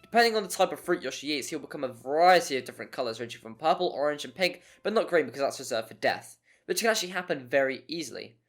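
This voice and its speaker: A man's voice: fairly high pitch, expressive, fast, a bit bright. Wry man narrating over music. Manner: wry, jokey, given to exaggeration. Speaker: narrator of a video game review. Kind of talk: scripted video essay narration.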